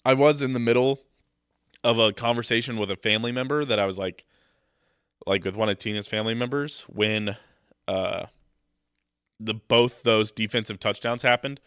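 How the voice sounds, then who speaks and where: A man's voice: medium pitch, moderate pace, long pauses, a bit bright, clean tone, dry recording, clean studio sound. A young man with a stuffed-up nose from a cold, hosting a casual sports podcast and rambling with jokes into his microphone. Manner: rambling, joking, loose. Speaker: podcast host.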